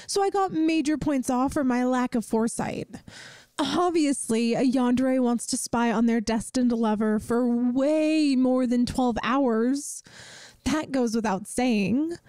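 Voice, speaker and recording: Woman acting a high-voiced, sheepish ditzy young woman. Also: mellow, dry recording. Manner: earnest, self-deprecating, hesitant, sighing. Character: ditzy, sheepish young female friend failing yandere school. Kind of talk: scripted audio roleplay, spoken directly to the listener.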